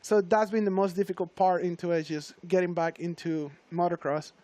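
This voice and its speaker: A young man from Venezuela, a guest rider in a TV studio interview, talking at length in a relaxed, reflective way. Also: high-pitched, a little fast.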